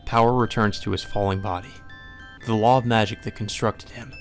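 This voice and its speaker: A man reading a visual novel aloud as dramatic, solemn and measured narration. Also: on the low side, fairly even intonation. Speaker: narrator, let's play reader. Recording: music behind the voice.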